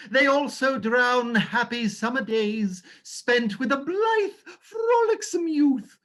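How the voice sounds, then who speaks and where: Boozy narcissistic owl, grandiloquent, hammy, unhurried, stage play reading. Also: high-pitched, a bit bright, exaggerated voice. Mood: melodramatic. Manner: grandiloquent, florid, hammy dramatic delivery. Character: pompous, theatrical, drunken narcissistic owl. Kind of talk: dramatized stage script reading.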